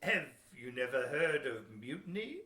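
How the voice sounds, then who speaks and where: A man acting a gruff, crafty sea captain in a historical audio play, goading people in archaic, emphatic seafaring speech. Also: medium pitch, very animated, a little slow, bright, forceful tone. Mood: sly, goading. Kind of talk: amateur audio theater historical drama acting. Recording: echoey room.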